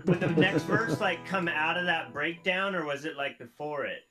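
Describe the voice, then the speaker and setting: A man with a voice a bit higher than average chats informally on a video call. He is taking part in an open mic, and music is prominent in the recording. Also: normal speed. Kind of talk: casual chat.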